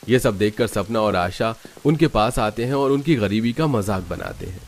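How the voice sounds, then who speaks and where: A man's voice: on the low side, lively intonation, moderate pace. A man narrating a scripted cartoon family story.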